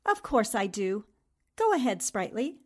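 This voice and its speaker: A woman's voice: a little high, very animated, unhurried, darkish tone. A woman playing an amused, skeptical newspaper reporter in a radio drama, wry and teasing. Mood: indulgent.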